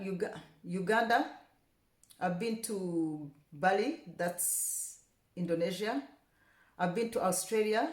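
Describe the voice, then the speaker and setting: Chatty Rwandan-born woman, echoey room. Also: medium pitch. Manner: chatty, repeats words for emphasis, grows passionate. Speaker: vlogger. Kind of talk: casual talk to camera.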